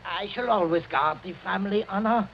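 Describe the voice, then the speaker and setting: An actor playing a deferential, uneasy doctor to a count, creaky and hesitant in 1940s radio style. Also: male voice, high-pitched, a little fast. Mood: servile. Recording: dull, noisy 1940s radio recording.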